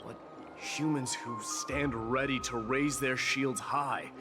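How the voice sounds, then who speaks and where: An actor playing a proud, solemn young warrior king and war demigod in a game, speaking formally and gravely. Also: mid-range voice, steady tone, slow, lightly acted. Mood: calm, resolute. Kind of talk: scripted game cutscene drama. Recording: game dialogue under loud music.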